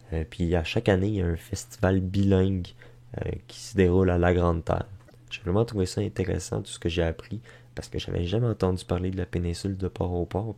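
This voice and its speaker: A man's voice: low voice, vocal fry, mellow. A man with a Quebec French accent narrating an informal travel vlog in a colloquial, unscripted, hesitant way, on noisy amateur audio. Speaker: travel vlogger.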